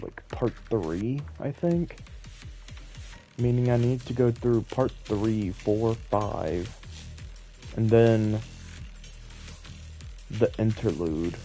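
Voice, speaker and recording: A man with a lowish voice chats casually while streaming a video game. He rambles and pokes fun at himself as he muses aloud. Game music plays behind him. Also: warm tone. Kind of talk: casual live gameplay commentary.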